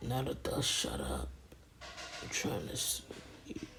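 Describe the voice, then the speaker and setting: A woman's voice: very deep voice, very animated, takes it slowly, creaky, fairly bright tone, pitched below the performer's own voice, heavily acted. A woman voicing a grumpy, sleepy young ninja boy who mutters curtly in an anime retelling. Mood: sleepy annoyance. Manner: muttering, half-whispered, curt.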